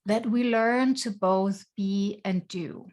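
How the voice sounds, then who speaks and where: A woman's voice: mid-range voice, fairly even intonation, takes it slowly, darkish tone. Earnest woman. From Denmark. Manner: earnest, reflective, speaks in short phrases. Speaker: guest, life coach. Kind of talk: conversational interview. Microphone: video call.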